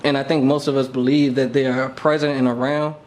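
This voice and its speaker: A man's voice: mid-range voice, flat delivery, fairly quick, darkish tone, slight background noise. A man talking casually and reflectively as a podcast co-host, thinking aloud in an informal way.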